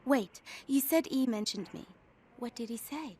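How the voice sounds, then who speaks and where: A woman acting as a fragile young vampire in a video game, her voice set high. She is newly turned, frightened and heavy with guilt, and her delivery is raw and pleading. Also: a little slow, darkish tone, slight background noise, close to an everyday voice. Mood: tentative hope.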